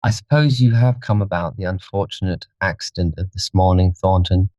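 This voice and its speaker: A man voicing an anxious, imperious young gentleman with an intense manner, for an audiobook. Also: low voice, moderate pace, no room sound, muffled recording, close to an everyday voice. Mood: commanding. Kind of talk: audiobook character voice.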